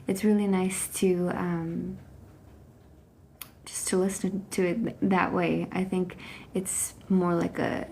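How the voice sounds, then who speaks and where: Woman in her 30s, casual faith livestreamer, gravelly mellow voice, faint hiss behind. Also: on the low side, little pitch movement, slow. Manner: chatty, many fillers and restarts. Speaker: Bible study livestreamer. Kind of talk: casual Bible reading chat. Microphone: phone.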